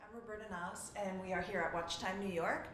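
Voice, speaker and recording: A woman interviewing a guest, friendly and quick to laugh, prompting with short questions. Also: mid-range voice, steady tone, fairly bright tone, echoey room. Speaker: interviewer, watch journalist. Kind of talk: casual interview.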